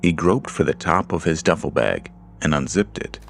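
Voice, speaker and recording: A man narrating a horror story in a slow, measured, hushed storytelling manner. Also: very deep voice, expressive, vocal fry. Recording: music bed under voice.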